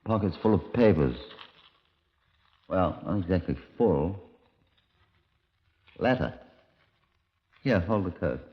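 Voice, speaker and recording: An actor playing a brisk, commanding British chief inspector in a 1950s radio drama, middle-aged, clipped and authoritative. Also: male voice, low-pitched, lively intonation. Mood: brisk, probing. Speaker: detective narrator. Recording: dull, lo-fi old radio recording.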